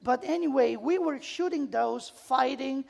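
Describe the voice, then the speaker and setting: High, creaky-voiced Italian woman giving a talk. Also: a little slow, darkish tone. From Italy. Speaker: photographer, seminar speaker. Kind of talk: live seminar storytelling.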